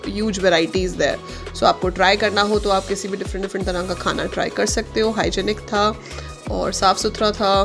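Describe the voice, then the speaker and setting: A woman in her thirties with an Indian accent, narrating a casual travel vlog in a chatty way with many fillers. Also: mid-range voice. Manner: chatty, mixes Hindi and English, many fillers. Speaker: vlogger; online teacher.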